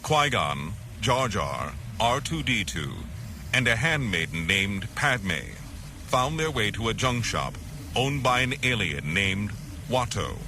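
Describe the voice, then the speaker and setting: A man reading a scripted children's adventure story as narrator, in a dramatic, measured way. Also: on the low side, very animated, bright, forceful tone, a little hiss or hum.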